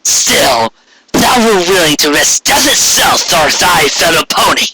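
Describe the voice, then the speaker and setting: A man's voice: fairly high pitch, lively intonation, loud music behind the voice, voice pushed up. A man voicing a regal, menacing female alicorn tyrant in an archaic, grandiose manner for a storybook reading. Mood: condescending. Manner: archaic, grandiose, slow proclamations.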